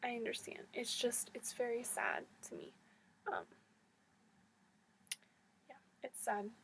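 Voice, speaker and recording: A woman's voice: a little high, wildly swooping pitch, slow, a bit bright, a little hiss or hum. A woman losing her voice, talking in a casual, reflective monologue, hesitant and often restarting her thoughts. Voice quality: husky, voice cracking in and out. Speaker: vlogger.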